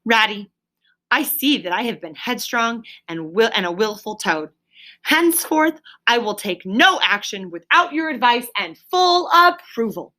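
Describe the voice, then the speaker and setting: A woman's voice: fairly high pitch, sing-song, unhurried, sharp tone, exaggerated voice. A woman voicing a headstrong male toad from a storybook in a melodramatic, emphatic way. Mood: contrite. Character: headstrong, dramatic male toad, indignant then remorseful.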